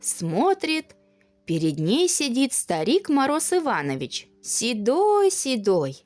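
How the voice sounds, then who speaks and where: Female storyteller for children's audio tales, expressive and folksy, lively intonation, soft music underneath. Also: a little high, takes it slowly. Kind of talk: children's fairy-tale narration.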